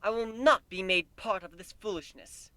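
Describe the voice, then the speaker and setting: A man's voice: sits high, moderate pace, bright, forceful tone, dry recording, voice pushed up. A man voicing an indignant, haughty pony princess with archaic speech in a story reading.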